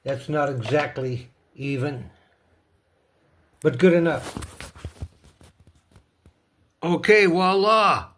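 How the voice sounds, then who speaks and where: A man's voice: a little high, extremely slow, long pauses, fairly bright tone, slight background noise. A man casually narrating a hands-on DIY repair, talking himself through each step in a folksy, playful way. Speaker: host of a DIY repair video.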